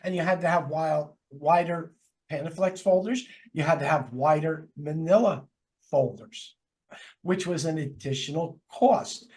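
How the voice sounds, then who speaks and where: A man with a New York accent talks in a loose, rambling way, often pausing on fillers. His voice sits fairly high for a man. He co-hosts a casual conversation. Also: a little mellow. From New Jersey. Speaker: podcast co-host. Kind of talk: casual chat.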